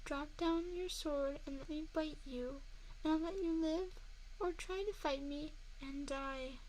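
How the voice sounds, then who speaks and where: A woman's voice: sits high, unhurried, a little mellow, rough recording. A woman voicing a seductive, menacing female vampire in an intimate ASMR roleplay, mocking and taunting a single listener up close. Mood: threatening, commanding. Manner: teasing, taunting, intimate address to one listener. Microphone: close binaural 3Dio microphone. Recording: faint background noise, close binaural mic.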